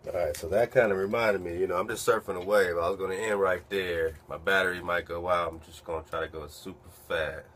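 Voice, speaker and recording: Deep flat-voiced man hosting a stream. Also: slight background noise. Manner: hurried, slangy, repeats himself. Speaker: host. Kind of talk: casual live-stream chat.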